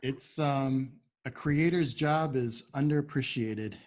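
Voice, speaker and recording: A man's voice: mid-range voice, slow, darkish tone. A man hosting a casual interview chat in an agreeable, easygoing manner. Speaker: podcast host.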